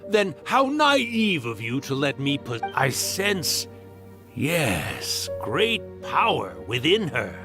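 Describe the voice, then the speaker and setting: An actor voicing the mischievous, theatrical ghost of a mighty old fox yokai in a video game, with teasing, drawn-out delivery. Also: male voice, a little high, huge pitch swings, over music, exaggerated voice. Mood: gloating, menacing.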